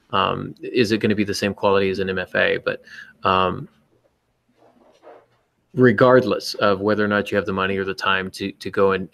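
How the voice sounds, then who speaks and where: A man's voice: lowish voice, gravelly, a bit bright. A man hosting a podcast, casually explaining his views on writing in a conversational way. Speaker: podcast host and writer.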